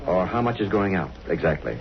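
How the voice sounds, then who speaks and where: An actor playing a cautious, principled male treasurer in a vintage radio drama, with a measured, formal and firm manner. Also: sits low, very animated, vocal fry, exaggerated voice. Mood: guarded. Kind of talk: 1930s-40s radio drama acting. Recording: dull old radio recording.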